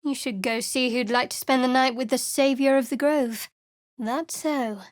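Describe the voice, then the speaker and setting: A woman voicing a wry dark cleric. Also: high voice, fairly even intonation, moderate pace, dry recording, clean studio sound, natural voice. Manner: wry, cool and reserved, whispering her prayers. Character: guarded young female cleric of a dark goddess, dry and wary. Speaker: game companion character. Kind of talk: video game voice acting. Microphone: close studio mic.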